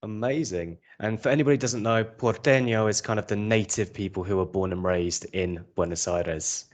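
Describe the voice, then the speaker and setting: A man's voice: lowish voice, normal speed. A man from England hosting a podcast, upbeat and encouraging in a casual interview. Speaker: podcast host.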